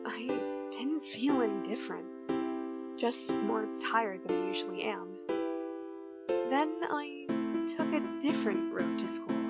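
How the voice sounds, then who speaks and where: A bored small-town teenage girl, played by a young woman, muses slowly in this amateur radio drama. Her voice sits a little high and drifts along unhurried. The lo-fi recording carries steady music underneath. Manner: slow, wistful, turning wondering. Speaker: lead character and narrator.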